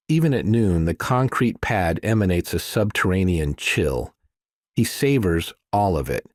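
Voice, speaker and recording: A man's voice: low voice, a little mellow, no room sound, clean studio sound. A man reading scripted thriller narration as an audiobook narrator.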